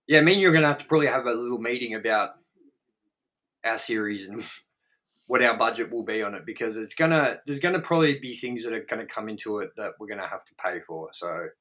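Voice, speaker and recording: Blunt, bright-voiced man, sweary and emphatic. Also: on the low side, lively intonation, fast. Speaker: co-host of a film review show. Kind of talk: casual opinionated film review chat.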